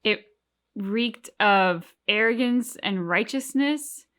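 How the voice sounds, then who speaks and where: Reflective woman host, medium pitch. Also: slow, a bit bright. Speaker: reaction channel host. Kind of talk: casual analytical discussion.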